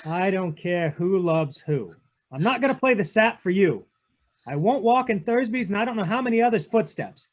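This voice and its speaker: A man's voice: high-pitched, expressive, normal speed. A man playing a cynical, unyielding private detective in a 1940s-style radio drama, with a clipped, tough-talking delivery. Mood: stern. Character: hard-boiled male private detective, cynical, unyielding to his lover. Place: online video-call read-through.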